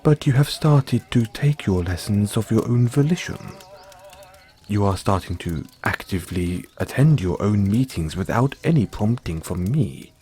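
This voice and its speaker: A man with a British accent playing a formal, devoted butler who gently chides his young master in an audio roleplay. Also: fairly low pitch, lively intonation, soft background music. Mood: approving, formal. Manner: formal, measured, deferential. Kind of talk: scripted roleplay, intimate second-person address.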